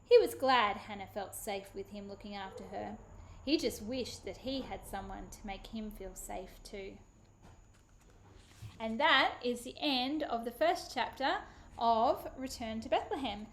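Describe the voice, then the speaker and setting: A woman, a children's author, giving an expressive, animated dramatic reading of her book. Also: a little high, a little slow, a little hiss or hum. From Australia.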